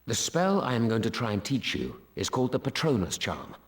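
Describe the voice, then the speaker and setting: A man voicing a gentle, weary male wizard teacher, speaking in a calm, kindly, soft-spoken way in a game cutscene. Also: mid-range voice, a little fast. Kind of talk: video game cutscene acting.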